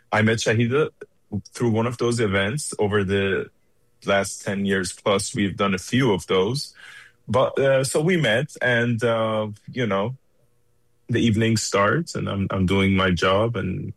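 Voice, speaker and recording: Low-voiced man from Iran telling stories. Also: steady tone, dry recording. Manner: unhurried, pausing between phrases. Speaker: interview guest, studio engineer. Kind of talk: conversational storytelling.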